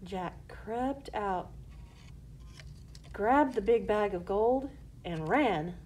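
A woman with a mellow voice narrates a children's story in measured phrases. The pitch is medium, and there is clear noise behind her. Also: expressive.

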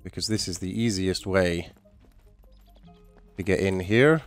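A man's voice: sits low, measured pace, soft background music. A man with a stuffy, nasal voice from a cold, chatting casually while commentating a survival game. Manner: chatty, rambling, mild swearing. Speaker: gaming YouTuber.

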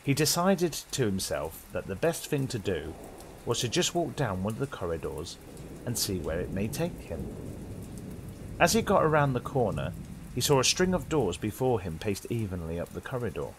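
British male horror host, theatrical and suspenseful, pitch swinging widely, faint rain-like ambience behind. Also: sing-song, moderate pace, background noise. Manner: dramatic, suspenseful delivery. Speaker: horror story host and narrator. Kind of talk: theatrical scripted horror narration inspired by old radio.

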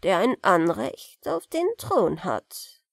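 A woman voicing a humble old beggar in a fairy-tale audiobook, hesitant and deferential, with drawn-out words. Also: on the low side, sing-song, vocal fry, dry recording, studio quality, full character voice. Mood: probing.

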